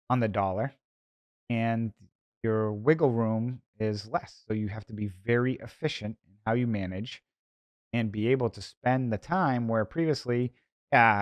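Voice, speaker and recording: A man's voice: medium pitch, dry recording. A man with a Boston accent hosting a solo podcast, talking informally with conversational asides. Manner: rhetorical self-questioning, conversational asides. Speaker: podcast host, investor.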